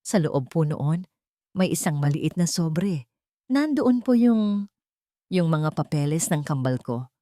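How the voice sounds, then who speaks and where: A woman's voice: sits low, very animated, slow pace, long pauses, dark tone, dry recording, clean studio sound, exaggerated voice. A woman with a Filipino accent voicing an anguished young housemaid mother in an audio drama, pleading, hesitant and deferential. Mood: anxious pleading.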